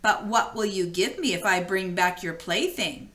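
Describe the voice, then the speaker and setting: A woman's voice: medium pitch, expressive, moderate pace, bright, forceful tone, pitched below the performer's own voice. A woman reading the part of an earnest, pleading male frog from a storybook fairy tale. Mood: earnest bargaining. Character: male frog, patient, earnest, bargaining for affection.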